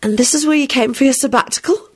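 Wanted: woman as a genteel, easily flustered lady for a storybook, high-voiced, polite and swooping. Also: expressive, moderate pace. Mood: wry.

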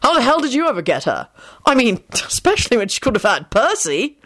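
A woman's voice: on the high side, big pitch swings, a little fast, a bit bright, no room sound, voice pushed up. A woman voicing a cheeky, cheerful young man in a fantasy story, brash and teasing.

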